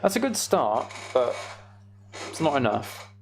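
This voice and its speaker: Casting: male game streamer, creaky voice, rambling and mock-exasperated, faint background noise. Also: medium pitch, very animated, a little slow. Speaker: game streamer hosting a live chat stream. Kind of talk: casual gaming commentary and chat.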